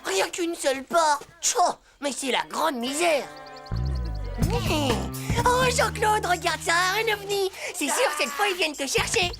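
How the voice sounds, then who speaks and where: A cheeky, hot-headed cartoon schoolboy with a high, creaky little voice. He talks in exclamations and playground slang. Music runs behind him. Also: lively intonation, a little slow, exaggerated voice. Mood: grumbling. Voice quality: creaky, childlike. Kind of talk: French children's cartoon voice acting.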